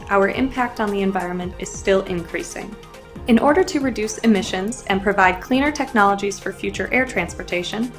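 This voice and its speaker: A woman giving a polished, scripted promotional narration. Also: mid-range voice. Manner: even, polished reading.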